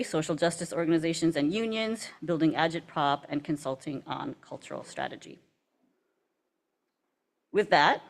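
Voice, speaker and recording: A woman moderating a university panel, reading speaker introductions in a measured, formal way. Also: on the low side. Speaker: event moderator. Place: university event hall.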